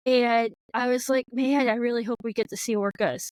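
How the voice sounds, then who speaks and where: Chatty Floridian American woman, high voice. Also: fairly even intonation, moderate pace, a little mellow, dry recording. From Florida, United States. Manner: chatty, lots of "like" and "yeah". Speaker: podcast host. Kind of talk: casual conversation.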